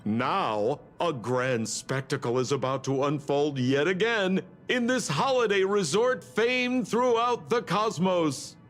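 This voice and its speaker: An actor playing a flamboyant TV announcer, theatrically promoting a grand spectacle in a scripted game narration. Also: male voice, on the high side, animated, takes it slowly, fairly bright tone, soft background music. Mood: grandiose.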